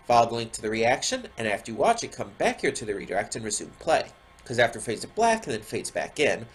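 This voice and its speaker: A man with a stuffy nose and a slightly sore throat, talking casually at home as a reaction video host, rambling with lots of ums. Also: medium pitch. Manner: rambling, many ums and restarts. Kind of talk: casual chat to camera. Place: home room with a window.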